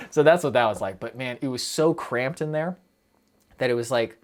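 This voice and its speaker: A young man chatting casually on a live stream, rambling quickly and breaking off his own sentences as he answers viewers. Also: mid-range voice. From United States. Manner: rambling, quick, self-interrupting with fillers and laughs.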